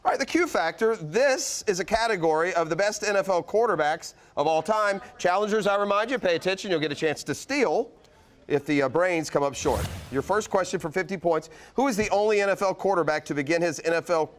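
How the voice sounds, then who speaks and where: A man's voice: sits high, fairly quick. A man hosting a game show with upbeat banter.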